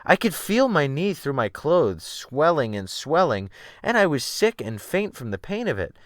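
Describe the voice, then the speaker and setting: Expressive male audiobook narrator, animated pitch. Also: moderate pace, no echo, studio quality. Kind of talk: dramatic reading of classic fiction.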